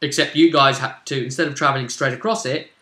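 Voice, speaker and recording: A man's voice: mid-range voice, steady tone, fast talker, pushed and bright, roomy sound. A man hosting as game master, recapping a role-play session with a storyteller's dramatic delivery. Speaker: game master and show host.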